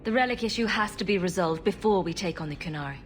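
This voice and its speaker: A woman playing a calm, diplomatic adventurer heroine in a video game, level and inquiring in manner. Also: lowish voice, quick pace, a little hiss or hum, natural voice. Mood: calm, questioning.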